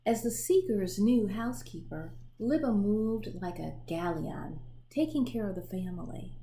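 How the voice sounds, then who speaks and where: A woman reading a children's picture book aloud in an expressive storytelling manner, pausing to show the pictures. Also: medium pitch, creaky, slight background noise. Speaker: storybook reader.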